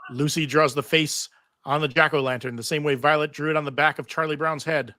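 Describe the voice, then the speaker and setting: Bright-voiced man narrating scenes. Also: fairly high pitch, steady tone, a little fast. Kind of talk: reading stage directions at live table read.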